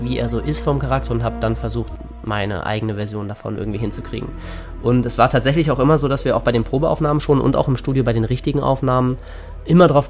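A man's voice: medium pitch, a little mellow. A man speaking casually and earnestly in an interview as a voice actor. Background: music bed.

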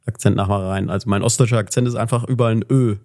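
A man co-hosting a podcast in casual chat, joking and teasing and quick to slip into silly voices. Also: lowish voice, steady tone, a little mellow. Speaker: podcast co-host.

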